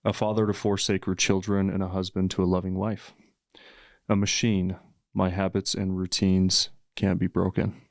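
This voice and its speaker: A podcast host, a man with a very deep voice and an even, almost flat melody. He speaks in a calm, soothing, measured way. The sound is dry and close. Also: no echo. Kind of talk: measured interviewing and reciting.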